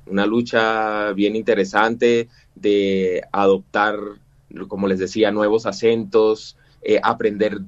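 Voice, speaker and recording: Cuban-born guy in his 40s, lowish voice, lots of ums and restarts, kinda muffled dry line. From Cuba. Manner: hesitant, many fillers and restarts. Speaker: guest musician. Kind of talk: interview conversation.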